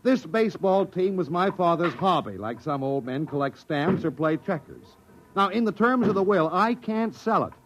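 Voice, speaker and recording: A man's voice: high voice, lively intonation, normal speed. An actor playing a spoiled, callous rich male playboy, breezy and entitled, in a 1950s radio drama. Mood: dismissive. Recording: faintly noisy old radio recording.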